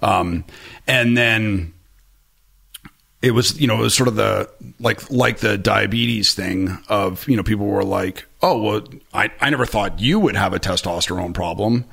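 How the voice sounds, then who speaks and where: A man's voice: on the low side, unhurried, vocal fry, fairly bright tone, husky. A man in his forties talking candidly as a podcast guest, rambling with lots of fillers and self-deprecating asides, close to a studio mic in a small room. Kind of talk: candid conversational interview. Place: small room with bright orange shelves. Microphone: boom-arm studio mic close to mouth.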